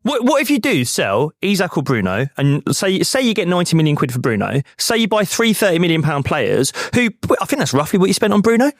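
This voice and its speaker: A man on a football podcast panel, arguing excitably and fast, swearing and stumbling into his points. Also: sits high, no echo, studio quality. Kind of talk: casual panel debate.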